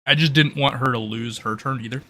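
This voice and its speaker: Bright, forceful male game master. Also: medium pitch, steady tone, brisk. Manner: rambling, informal, thinking aloud. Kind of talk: running a tabletop roleplay game, casual explaining.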